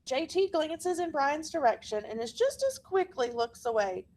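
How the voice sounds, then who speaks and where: A woman reading a children's picture book aloud in an expressive, deliberate storytelling manner. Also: high-pitched, a little hiss or hum. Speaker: storybook reader.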